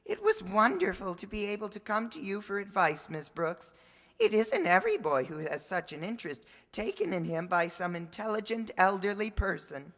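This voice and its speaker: An earnest high school boy , acted by a woman, gushes with naive sincerity in the manner of old-time radio comedy. The voice rings out in a reverberant hall. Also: very animated, normal speed, fairly bright tone. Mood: gushing.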